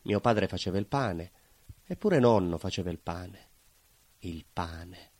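A dry, close sound carries a stubborn, nostalgic baker. He tells his story as a colloquial stage monologue, leaning on repeated phrases and deliberate pauses. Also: sits low, animated, darkish tone, dry recording. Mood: bitter, insistent. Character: proud, plain-spoken northern-born Calabrian baker, stubborn and nostalgic. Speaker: stage actor.

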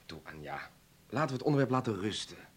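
An actor playing a stubborn, evasive hospital surgeon in a radio play, terse and deflecting. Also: male voice, mid-range voice, sing-song, fast, husky. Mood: evasive, placating. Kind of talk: Dutch radio play acting. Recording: noisy old radio play recording.

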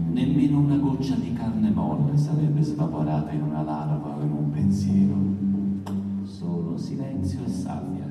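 Male literary reader, lowish register, theatrical and deliberate; distant, very reverberant, music underneath. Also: mellow. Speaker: author reading his own text at a poetry event. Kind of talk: literary recitation, first-person narrative.

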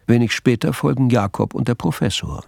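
An actor as the composed narrator of a radio crime play, telling the story in a measured, matter-of-fact, literary way. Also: male voice, mid-range voice, vocal fry, a little mellow, no room sound, clean studio sound.

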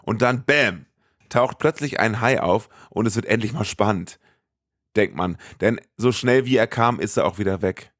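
Man, podcast host, lowish pitch, colloquial scripted recap, dry studio-like recording. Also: normal speed, a bit bright, no echo.

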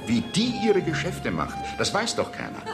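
An actor playing an exasperated, sardonic gentleman banker in a radio play, formal and agitated. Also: medium pitch, huge pitch swings, normal speed, creaky. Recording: 1970s radio play, loud music behind.

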